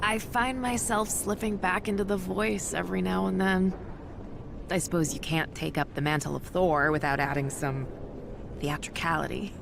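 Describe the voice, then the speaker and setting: An actress playing a battle-weary warrior goddess in a video game, resolute and wry, speaking in measured, theatrical, formal phrases. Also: female voice, fairly low pitch, fairly even intonation, vocal fry, noisy background. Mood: sincere, reflective. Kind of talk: dramatic video game voice acting.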